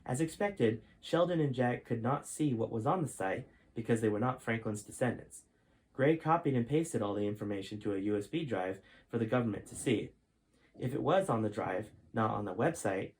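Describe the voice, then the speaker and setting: A man narrates a storybook. His voice is of average male pitch. He reads aloud as the author of the tale, in scripted storytelling style. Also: darkish tone, rough recording. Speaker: author reading own book.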